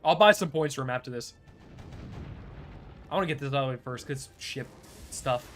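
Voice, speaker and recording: A young man streaming a game, chatting casually in a laid-back, chuckling way with a fairly high-pitched voice. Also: fairly quick, a bit bright, faint music behind. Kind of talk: casual live gameplay commentary.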